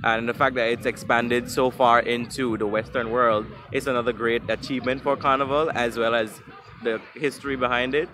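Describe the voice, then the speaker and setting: A man's voice: medium pitch, steady tone, normal speed, noisy background. A man from Guyana and Anguilla answering questions in a street interview, thoughtful and a little hesitant, with frequent ums.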